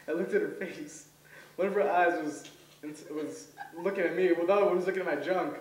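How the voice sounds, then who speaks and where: A man's voice: high voice, fairly even intonation, moderate pace. A young man whose first language is Hebrew doing his first stand-up set, rambling with lots of ums and laughing at his own jokes. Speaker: stand-up comedian. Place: comedy event stage. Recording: roomy, voice from far off.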